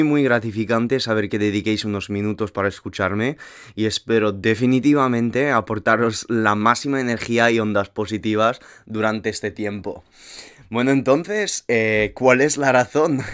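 A man's voice: mid-range voice, a bit bright, smooth. A young man in his twenties with a French accent, hosting a motivational podcast in an upbeat, emphatic way. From France. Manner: upbeat, motivational, speaks slowly with emphatic stresses. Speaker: podcast host. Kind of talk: solo podcast monologue.

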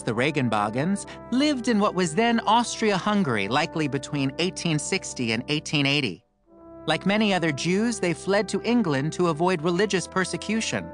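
A man reading his own memoir aloud as an audiobook, with campy, wry comic asides. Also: fairly high pitch.

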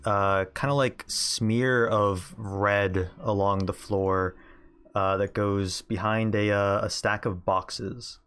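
Male game master, lowish voice, casual improvised narration with hesitant phrasing. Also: steady tone, fairly bright tone, clear, resonant tone. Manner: hesitant, halting, informal.

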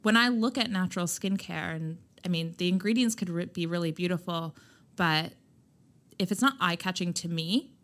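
A woman guest on a chat podcast talking candidly about founding her own skincare brand, loud and emphatic, with lots of "like" fillers. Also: lowish voice, moderate pace. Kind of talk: candid conversational interview.

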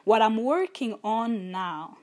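A woman's voice: a little high, expressive, takes it slowly, darkish tone. A young adult woman hosting a solo podcast, talking in an earnest, thoughtful and openly personal way. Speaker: podcast host. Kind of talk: reflective solo podcast talk.

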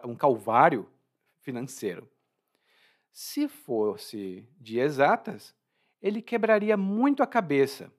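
Brazilian guy teaching portuguese words, super lively pitch, chatty and joking. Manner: lively, informal, chatty, laughs at own jokes. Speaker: language teacher. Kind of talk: explaining vocabulary from a story.

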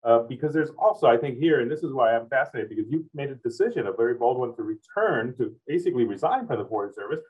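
A man's voice: medium pitch, lively intonation, quick pace, few pauses, a little mellow, husky, distant mic. A man hosting an interview, asking long, rambling questions that loop back on themselves. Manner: rambling, loops back on his phrasing. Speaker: interviewer host. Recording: tinny phone-like sound, off-mic.

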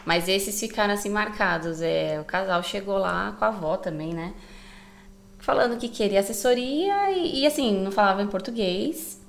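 A woman guest on a podcast, chatting casually and telling lively anecdotes from her work. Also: mid-range voice, moderate pace, vocal fry. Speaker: guest, co-founder of a baby layette consulting service.

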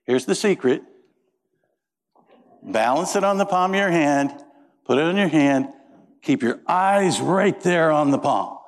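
A man's voice: fairly high pitch, expressive, measured pace, long pauses. A middle-aged man from Indiana preaching a sermon as pastor, folksy and joking as he tells stories. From Indiana, USA. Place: church. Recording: reverberant church sanctuary.

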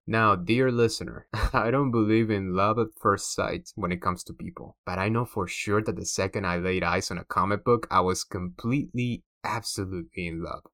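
A man's voice: lowish voice, a bit bright, no echo, studio quality. A man from Cuba with a Cuban accent, hosting a podcast in a scripted monologue with playful, theatrical flair. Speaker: podcast host.

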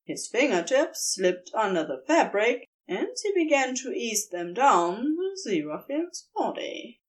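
A woman reading fan fiction aloud in soft, unhurried narration. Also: a little high, very animated. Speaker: podfic reader.

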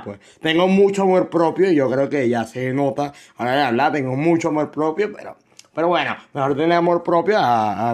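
Young venezuelan guy rambling on his podcast, kinda high voice, lots of um's and restarts, jokes about himself. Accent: Venezuelan Spanish. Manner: rambling and self-joking, many fillers and restarts. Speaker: podcast host. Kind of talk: casual solo podcast chat.

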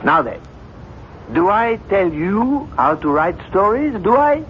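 Brusque doctor, fairly high voice, theatrical, clipped, noisy old radio drama recording. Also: male voice, very animated, slow, full character voice. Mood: dismissive, irritated. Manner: clipped, emphatic, theatrical questioning. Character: brusque, skeptical, authoritative male doctor. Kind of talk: 1970s radio drama acting. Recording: muffled, noisy 1970s radio broadcast.

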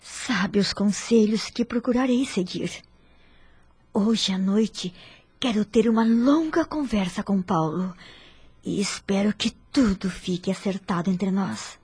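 An actress playing a dignified, forgiving middle-aged wife in a radio drama, with a Brazilian accent and an earnest, formal delivery. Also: female voice, mid-range voice, animated, measured pace, a little mellow, husky. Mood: grateful, hopeful.

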